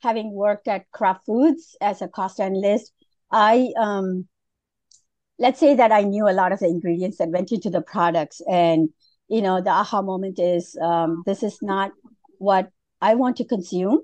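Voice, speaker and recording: A middle-aged woman from Malaysia talks as a podcast guest, in an easy, unhurried way. She pauses often with fillers and restarts her sentences. Her voice sits at an ordinary pitch and is recorded dry and close. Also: a little mellow, no echo. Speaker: guest, food business founder. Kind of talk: casual interview.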